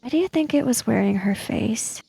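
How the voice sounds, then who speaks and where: Woman playing an anxious, creaky-voiced, high-pitched kid. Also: expressive, moderate pace, mellow, heavily acted. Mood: questioning. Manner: rambling, questioning. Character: anxious, questioning kid in 1980s sci-fi mystery. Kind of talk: improvised in-character tabletop roleplay.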